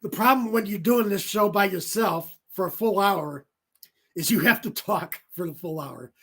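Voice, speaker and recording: A man hosting a sports talk show on his own, giving rambling commentary full of fillers and self-corrections, his voice going raspy from talking for an hour. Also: sits high, normal speed, a bit bright. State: voice getting raspy. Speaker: solo sports show host.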